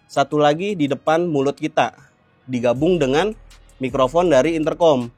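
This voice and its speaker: A man's voice: on the high side, moderate pace, a little mellow, dry recording, soft background music. A young adult man with a Jakarta Indonesian accent hosting a casual gear tutorial, explaining in colloquial language and checking in with viewers. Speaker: motovlog host.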